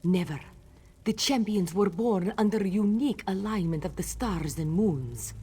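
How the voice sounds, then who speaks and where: A woman voicing a solemn cat-folk priestess leading sacred rites in a fantasy video game, with measured ceremonial delivery. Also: mid-range voice, dark tone, slightly rough. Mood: solemn explaining.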